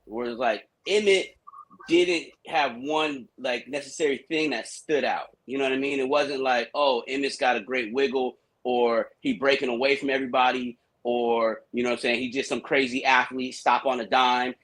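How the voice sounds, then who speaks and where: A man's voice: mid-range voice, steady tone, normal speed, fairly bright tone, rough recording. A coach in his forties talking football, working through his point with lots of "like" and "you know." His voice sits mid-range and plain. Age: 40s. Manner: thoughtful, explains at length with many fillers. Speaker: podcast guest, coach. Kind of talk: casual sports debate.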